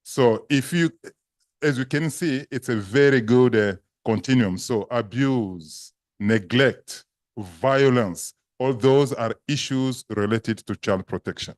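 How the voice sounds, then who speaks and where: A man's voice: medium pitch, no echo, clean studio sound. A man from Congo with a French accent, a native French speaker giving a seminar lecture in a big, emphatic voice. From Democratic Republic of Congo. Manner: slow, emphatic listing with a big voice. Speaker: guest lecturer.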